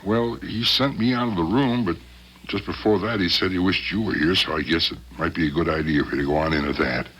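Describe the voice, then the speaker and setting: Earnest, anxious husband, old radio. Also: male voice, low voice, a little fast, a bit bright, breathy edge, dull audio. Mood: worried. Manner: earnest, hesitant. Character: anxious, polite husband of a sick woman. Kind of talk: 1970s radio drama acting. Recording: faintly noisy 1970s radio broadcast.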